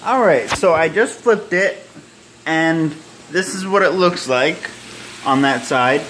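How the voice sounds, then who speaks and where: A man in his twenties casually demonstrating home cooking to camera in a halting, rambling, self-deprecating way. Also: on the high side, fairly bright tone. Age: 20s. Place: small home kitchen and dining room. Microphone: handheld or propped phone near arm's length. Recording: roomy echo, kitchen noise with sizzling.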